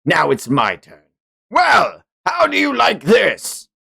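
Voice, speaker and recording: Man putting on a cocky, maniacal fighter villain with swooping pitch. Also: huge pitch swings, slow, creaky, pushed and bright, breathy edge, full character voice. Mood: smug gloating. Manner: taunting, gleeful, theatrical. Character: cocky bloodthirsty male fantasy water-and-blood fighter, gloating maniacally. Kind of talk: amateur dramatic comic read-aloud.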